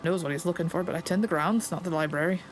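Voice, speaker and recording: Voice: mid-range voice, fast talker, a little hiss or hum, lightly acted. A player reading aloud the lines of a plainspoken Scottish stable master in a game, in a folksy, understated way.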